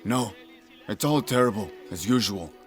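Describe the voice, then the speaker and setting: A fairly low-voiced man played by an actor, a downtrodden labourer in a video game. His delivery is gloomy and sardonic, with bitter resignation, over faint music. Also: expressive, moderate pace, close to an everyday voice. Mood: bitter, defeated. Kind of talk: video game cutscene acting.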